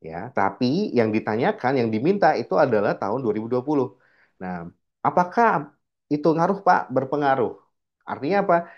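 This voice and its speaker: A man's voice: mid-range voice, big pitch swings, normal speed, dull audio. A man teaching like an informal lecturer, explaining step by step and often asking questions that he answers himself. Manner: asks rhetorical questions and answers them, frequent check-in tags. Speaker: accounting lecturer.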